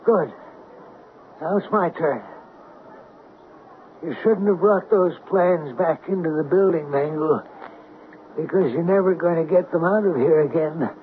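A man's voice: a little high, unhurried, long pauses, natural voice. An actor playing a smug, menacing businessman villain in a 1970s radio drama. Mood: smug menace. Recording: noisy, phone-like 1970s radio broadcast.

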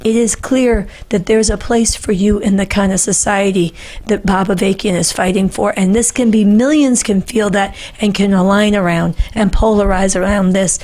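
A woman's voice: mid-range voice, darkish tone. A middle-aged woman hosting a political panel show, speaking with earnest, emphatic conviction and repeating phrases for stress, close on a studio microphone. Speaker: show host. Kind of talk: impassioned political panel commentary. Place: small book-lined studio, indoors. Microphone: boom-arm podcast microphone, close.

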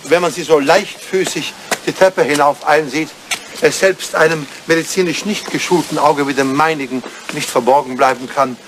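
An older man, an actor playing a dry, devoted, disapproving English butler, formal and deadpan, in a radio comedy drama. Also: fairly high pitch, moderate pace, lightly acted. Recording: noisy old radio play recording.

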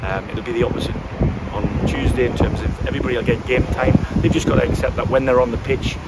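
A man in his fifties, a football manager, talking frankly after a match, often restarting his sentences as he thinks aloud, recorded outdoors beside a pitch. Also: fairly even intonation, fast. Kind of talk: post-match interview. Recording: loud wind and outdoor noise.

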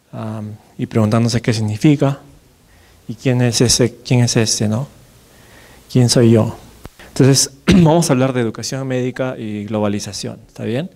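Peruvian man lecturing with a mellow voice. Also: medium pitch, normal speed. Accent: Peruvian Spanish. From Peru. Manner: conversational, many fillers and tag questions. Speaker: neurologist giving a lecture. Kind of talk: conference lecture. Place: large dark lecture hall with projector. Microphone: podium microphone, far camera.

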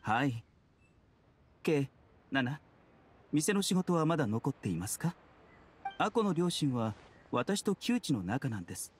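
A man playing a courteous, composed doctor in an anime game, speaking gently and formally. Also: mid-range voice, big pitch swings, unhurried, long pauses, slight background noise. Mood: calm. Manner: soft-spoken polite formal phrasing. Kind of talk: Japanese anime game voice acting.

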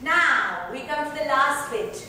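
A woman's voice: high-pitched, expressive, unhurried, pushed and bright. A woman giving a theatrical, emphatic dramatic reading as the author of the book. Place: club lounge, indoors. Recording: echoey hall, distant mic, faint noise.

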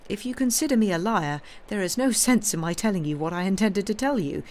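A woman's voice: medium pitch, animated, fairly quick, a little mellow, pitched above the performer's own voice, natural voice. A woman reading aloud, voicing a grave, formal male innkeeper who is easily offended. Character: fussy earnest Italian male innkeeper.

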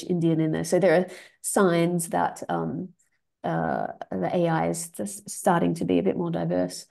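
A woman's voice: lowish voice, vocal fry, dark tone. A woman giving a webinar presentation over a video call, talking with many ums and restarts. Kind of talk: academic webinar talk.

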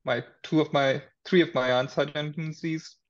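Earnest young man, flat muffled call. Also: on the high side, fairly quick. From Pakistan. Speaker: panelist, PhD researcher. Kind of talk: personal account on a panel. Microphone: video call from a laptop. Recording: dull video-call audio.

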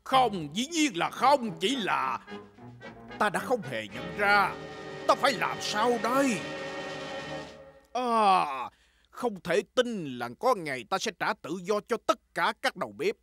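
A man's voice: high-pitched, sing-song, slow pace, creaky, music in the background, exaggerated voice. An actor playing a gluttonous, hot-tempered, bossy king in a Vietnamese cartoon dub, pompous and exclaiming. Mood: dismayed.

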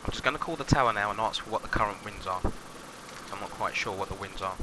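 Low-voiced male pilot over engine noise. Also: fast. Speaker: flight instructor, pilot on radio. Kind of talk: flight-simulator lesson with radio calls. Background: aircraft engine drone from simulator.

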